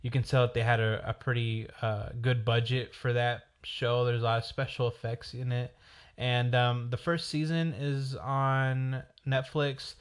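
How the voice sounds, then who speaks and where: Male solo host, raspy with a cold, chatty and meandering, mid pitch. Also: flat delivery, unhurried. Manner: rambling, chatty, self-correcting. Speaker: podcast host. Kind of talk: casual solo chat.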